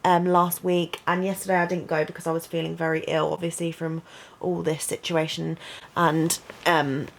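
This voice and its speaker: A young woman, a student vlogger, chatting casually in her bedroom with lots of "like" and "um" and a low voice. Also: level tone, normal speed, gravelly. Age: young adult. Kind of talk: casual vlog chat.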